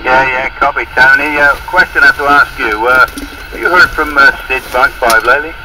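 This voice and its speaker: A man's voice: mid-range voice, steady tone, fairly quick. A man in a casual chat, calling in over a two-way radio. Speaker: amateur radio caller. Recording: very noisy, distant two-way radio transmission.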